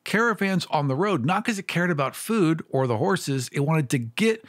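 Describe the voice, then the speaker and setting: Low-toned woman, dry close sound, casual chat. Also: expressive, moderate pace, no room sound. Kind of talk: casual tabletop gaming discussion.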